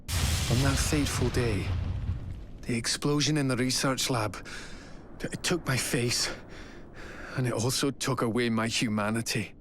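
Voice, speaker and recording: An actor playing a remorseful, disfigured scientist husband and father in a video game drama, earnest, halting and melodramatic. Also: mid-range voice, steady tone, background noise, lightly acted. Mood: ashamed, sorrowful. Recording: loud music behind the voice. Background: loud background music.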